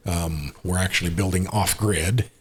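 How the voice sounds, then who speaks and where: A man in his sixties with an American accent, chatting casually as a podcast guest in a rambling, unhurried way. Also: low-pitched, fairly even intonation, a little hiss or hum. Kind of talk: casual conversational interview.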